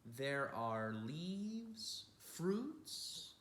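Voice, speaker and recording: A man's voice: fairly high pitch, sing-song, drawn-out words, fairly bright tone, a little hiss or hum, voice pushed up, full character voice. A man voicing a contented elderly male artist from a picture book, savoring his words with emphasis. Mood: contented wonder. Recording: roomy, echoing room sound.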